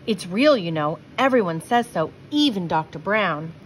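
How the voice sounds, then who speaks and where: A woman's voice: lowish voice, animated, moderate pace, a little hiss or hum, natural voice. A woman voicing an earnest, friendly young boy in a picture book, confiding and cautioning. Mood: worried.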